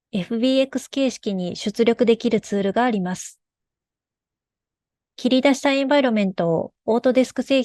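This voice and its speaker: A woman's voice: a little high, a little mellow, dry recording. A woman giving a scripted technical presentation about her studio's work. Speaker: game studio developer presenting a workflow.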